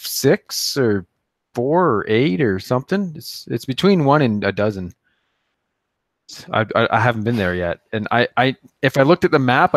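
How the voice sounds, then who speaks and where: A man's voice: lowish voice, dry recording, studio quality. A man co-hosting a casual podcast chat, rambling and joking about himself. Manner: rambling, self-deprecating, joking. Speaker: podcast co-host.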